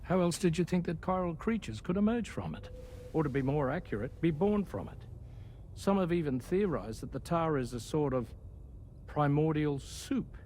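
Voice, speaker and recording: A measured male scientist, acted by a man, explaining his findings with a lively, rising and falling voice. He is somewhat high-pitched and stays calm and factual. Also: slight background noise, close to an everyday voice. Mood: matter-of-fact. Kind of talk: video game cutscene acting.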